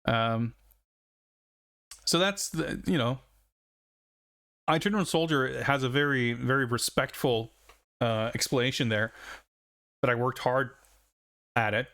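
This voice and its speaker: A man's voice: mid-range voice, measured pace, pauses often, a bit bright. A man in his forties from Sweden, a native Swedish speaker, chatting casually on a game stream in a rambling, reflective way, chuckling often. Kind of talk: casual live-stream chat.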